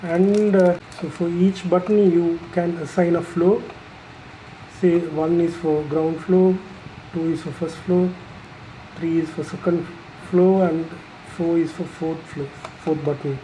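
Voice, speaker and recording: A person giving an unscripted, explanatory product demonstration in a halting, matter-of-fact way, pausing mid-phrase. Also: mid-range voice, flat delivery, warm tone, a little hiss or hum, rough recording. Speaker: installer presenting a product tutorial.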